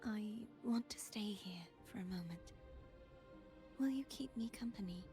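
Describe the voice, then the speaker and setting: A woman playing a gentle, grieving young warrior in a video game, speaking earnestly in a soft, breathy, halting way. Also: mid-range voice, close to an everyday voice. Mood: gentle, wistful. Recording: background music under dialogue.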